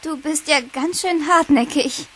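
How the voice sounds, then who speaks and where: A woman's voice: high voice, unhurried, fairly bright tone, slight background noise. An actress playing a reserved, modest schoolgirl in a children's audio drama, hesitant and downplaying. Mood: reluctant. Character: reserved, modest new schoolgirl, reluctant to confide.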